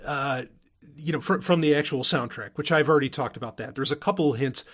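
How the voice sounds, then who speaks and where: A man's voice: on the high side, fairly quick, fairly bright tone. A man hosting a podcast in a casual, unscripted monologue, often interrupting himself and drifting into asides. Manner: rambling, self-interrupting, with frequent hedging asides. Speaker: podcast host.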